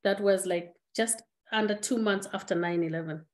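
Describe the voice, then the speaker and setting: Middle-aged woman from Zimbabwe, reflective, earnest, hesitant restarts, video call, mid pitch. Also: normal speed. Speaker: guest speaker. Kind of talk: candid personal conversation. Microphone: webinar video call.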